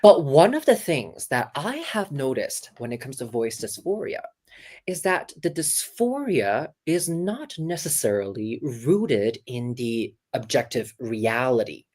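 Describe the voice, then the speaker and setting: A woman coaching voices over a video call, her voice sitting very low yet swinging widely in pitch. She talks in an animated, hands-on way, full of fillers, restarts and quick demonstrations. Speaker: voice coach guest. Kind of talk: casual interview, teaching.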